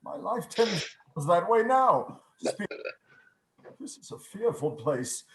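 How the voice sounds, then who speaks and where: A woman's voice: mid-range voice, very animated, slow pace, slight background noise. A frightened, repentant old miser played by a woman in a radio play. The delivery is dramatic and pleading, in a roomy, echoing video-call recording. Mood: fearful pleading.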